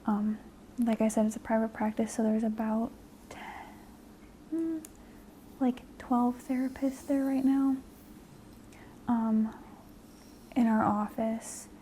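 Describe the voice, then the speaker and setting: Softly whispering young woman, very dark tone. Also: a little high, fairly even intonation, takes it slowly, pauses often, slight background noise, poor audio. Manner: soft half-whisper with frequent fillers. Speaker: guest. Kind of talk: soft-spoken ASMR chat.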